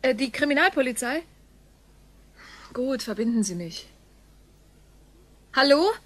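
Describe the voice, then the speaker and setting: An actress playing a flustered, impatient daughter on a halting phone call in a radio play. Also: female voice, sits high, animated, no room sound. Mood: urgent. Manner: halting one-sided phone talk.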